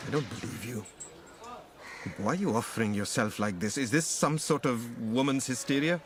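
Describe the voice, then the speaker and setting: A man's voice: medium pitch, moderate pace, close to an everyday voice. A man playing a bitter, distrustful, broken former lover in a radio drama, intense and questioning in his delivery. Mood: disbelieving. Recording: clear background noise.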